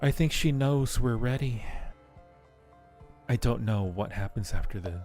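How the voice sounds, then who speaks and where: A man's voice: medium pitch, animated, a little slow, darkish tone. A man voicing a lovestruck undead acolyte from a horror game, narrating with earnest, brooding resignation. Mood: brooding, resigned. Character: devoted undead cave acolyte, fatalistic and lovestruck. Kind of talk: dramatic reading of game narration. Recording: music behind the voice.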